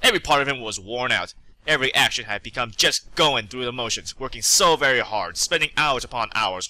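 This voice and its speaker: A man's voice: mid-range voice, sharp tone, no room sound, rough recording. A man reading fan fiction aloud as a storyteller, with dramatic narration.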